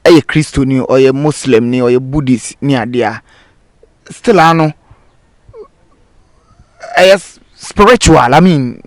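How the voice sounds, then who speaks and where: A man with a Ghanaian accent and Twi as his native language, hosting a casual interview with halting, hesitant phrasing. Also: mid-range voice. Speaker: interviewer, host.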